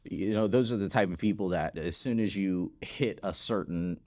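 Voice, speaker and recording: Deep-voiced man telling his story, dry sound. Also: moderate pace, darkish tone, no echo. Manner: emphatic, repeats key words and checks in with "right?". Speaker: podcast guest, physical therapist and business founder. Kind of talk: conversational interview storytelling.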